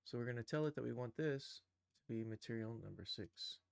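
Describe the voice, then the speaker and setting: Creaky-voiced man talking through a screen tutorial. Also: medium pitch, a little fast, dry recording, clean studio sound. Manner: thinking aloud, muttering short step-by-step remarks. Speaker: game developer streaming a tutorial. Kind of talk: casual screen-share walkthrough, narrating own steps.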